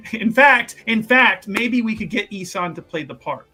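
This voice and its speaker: A man playing a tabletop roleplaying game, casually making up his character's story in an animated way. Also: sits high, normal speed. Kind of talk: casual improvised storytelling, describing own character.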